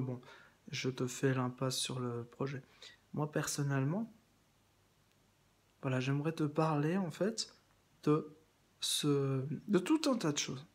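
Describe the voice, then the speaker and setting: Husky man rambling in a monologue. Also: on the high side. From France. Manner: meandering, repeats himself, many restarts and fillers. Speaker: video blogger addressing someone. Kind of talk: rambling direct-address monologue.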